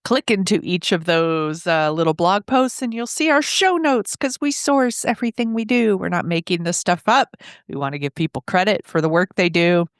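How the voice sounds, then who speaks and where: A woman with a head cold, sniffly, co-hosting a podcast with wry, deadpan quips. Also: on the low side, normal speed, few pauses, dry recording, studio quality. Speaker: podcast co-host. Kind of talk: casual podcast chat.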